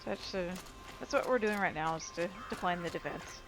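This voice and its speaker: A woman's voice: on the low side, normal speed, noisy background. A woman giving casual commentary while playing a video game. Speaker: gaming video creator. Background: video game music and sound.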